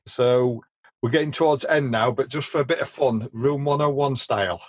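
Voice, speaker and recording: A man hosting a casual podcast, chatty and quick to share opinions, often stumbling and repeating words as he starts a sentence. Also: medium pitch. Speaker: podcast host. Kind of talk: casual chat.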